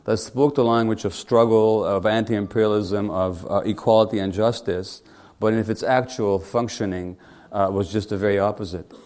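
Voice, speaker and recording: A man's voice: fairly low pitch, fairly even intonation, normal speed, darkish tone. A man in his sixties from Hungary, a physician giving a public speech in a wry, conversational way and putting questions to the audience.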